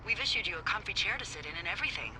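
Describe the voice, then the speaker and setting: A woman's voice: mid-range voice, lively intonation, racing, sharp tone. Wry woman lookout over noisy radio. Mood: dry, teasing. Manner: playful, sardonic. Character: wry, flirty, teasing female fire lookout supervisor. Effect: walkie-talkie radio. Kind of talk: naturalistic video game voice acting. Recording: radio-filtered voice with clear background noise.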